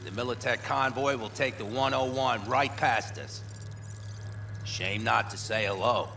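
A man's voice: mid-range voice, unhurried, creaky, bright, forceful tone, recorded from a distance, slight background noise. An actor playing a wry, opinionated nomad clansman in a video game, with a matter-of-fact delivery. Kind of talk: dramatic video game acting.

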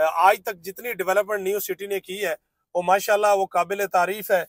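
Man, high voice, dry close sound, salesman patter, riding in a car. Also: no echo. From Pakistan. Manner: fluent salesman patter with filler phrases. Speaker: real estate vlogger. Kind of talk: narrated site-visit tour. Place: inside a moving car.

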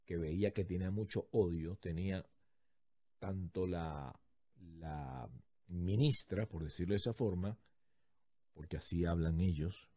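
A man in his thirties with a Venezuelan accent, hosting a podcast and telling a story in a lively, jokey way, recorded on a studio mic in a small home studio. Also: low-pitched, a little slow, long pauses, no room sound. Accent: Venezuelan Spanish. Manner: lively, jokey, acts out the people in his story with big gestures. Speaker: podcast host. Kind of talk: animated storytelling monologue. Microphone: large studio condenser mic, headphones.

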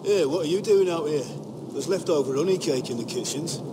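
Actor as easygoing guard in the rain. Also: male voice, on the high side, a little fast, lightly acted. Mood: casual, curious. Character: casual male guard, easygoing, coaxing his comrade. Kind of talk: video game drama acting. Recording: clear rain noise behind.

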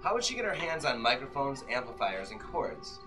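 A man in his thirties presents his work as an author in a bookstore. He is heard from a distance on a camera microphone, with music clearly playing behind his voice. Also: mid-range voice, big pitch swings, moderate pace. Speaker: author reading from own novel. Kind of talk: informal talk and dramatic novel reading. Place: bookstore, indoors, small live reading. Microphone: far camera among the audience.

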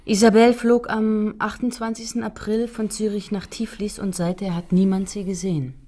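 An actress playing a composed, observant female prosecutor, polite and quietly concerned, in a German radio crime drama. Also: mid-range voice, little pitch movement, moderate pace, close to an everyday voice.

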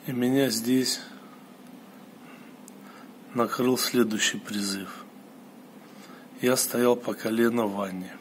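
Man, solemn storyteller, very slow, halting short phrases, personal monologue, noisy recording. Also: medium pitch. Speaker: storyteller recounting a mystical experience. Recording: clear steady background noise.

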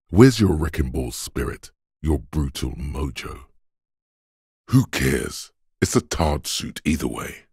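A man playing a gruff, domineering inner voice of brute strength in a video game, blunt and deliberate in delivery. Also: a little mellow, no room sound, studio quality, full character voice. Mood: blunt goading. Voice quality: gravelly, husky bass. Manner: blunt, domineering gym-coach goading mixed with slow literary narration. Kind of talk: video game voice acting, scripted narration.

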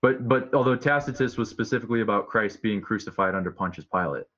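A man's voice: lowish voice, fast, a bit bright. A man in his thirties, a preacher debating casually and insistently with pointed questions, heard over a lo-fi video call. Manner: insistent, repeats key words, poses pointed questions. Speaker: street preacher, debate guest. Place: room at home, video call. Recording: dull, lo-fi video call audio.

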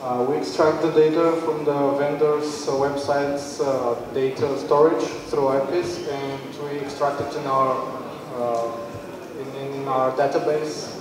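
A man's voice: mid-range voice, flat delivery, measured pace. A young man explaining an answer at a live presentation. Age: young adult. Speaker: startup team member. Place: indoor event room with stage area. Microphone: handheld mic, recorded by far camera. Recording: echoey hall from far off, crowd sound.

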